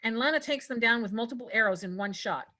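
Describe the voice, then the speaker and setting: High-voiced woman narrating a script. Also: fast, sharp tone. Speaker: narrator reading stage directions. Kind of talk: scripted narration at a table read.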